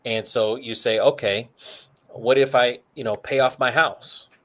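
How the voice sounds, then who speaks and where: A man's voice: mid-range voice, fairly even intonation, measured pace, a bit bright. A man co-hosting a talk show, casually walking through retirement numbers with plenty of "you know" fillers. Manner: works through figures aloud, many fillers. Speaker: co-host.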